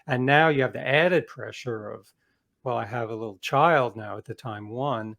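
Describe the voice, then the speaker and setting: A man's voice: medium pitch, moderate pace, creaky, fairly bright tone. Middle-aged man telling casual career anecdotes. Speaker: author, interview guest.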